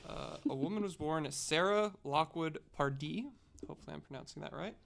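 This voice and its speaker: A man's voice: on the high side, fairly quick, creaky, a bit bright. A man on a podcast panel telling a story from notes in a casual, conversational way.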